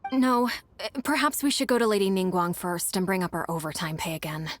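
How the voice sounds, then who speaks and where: A woman's voice: on the low side, lively intonation, fairly quick, slight background noise, natural voice. A woman playing an overworked, complaining secretary in a video game, grumbling and emphatic. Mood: exasperated. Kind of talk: scripted video game dialogue.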